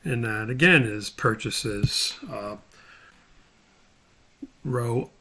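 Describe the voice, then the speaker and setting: A man's voice: medium pitch, drawn-out words, bright, forceful tone, slight background noise. A man giving an informal screen tutorial as an instructor, thinking aloud step by step as he demonstrates.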